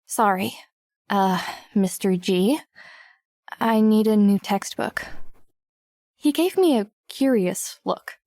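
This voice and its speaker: A woman's voice: medium pitch, slow pace, pauses often, darkish tone, no echo, studio quality. An actress playing a wry, self-conscious teenage girl narrating her own story in an audiobook. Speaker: lead narrator. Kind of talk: first-person audiobook narration.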